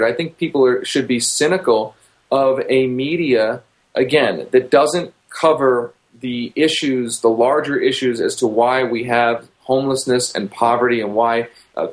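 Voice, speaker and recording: Male commentator, mid-range pitch, measured and earnest interview delivery. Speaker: commentator on media. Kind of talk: opinion interview answer.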